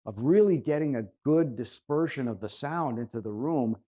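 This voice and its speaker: Male host, relaxed mellow tone, mid pitch, articulate and conversational explainer. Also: normal speed. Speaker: host and audio reviewer. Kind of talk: conversational explanation of audio setup tips.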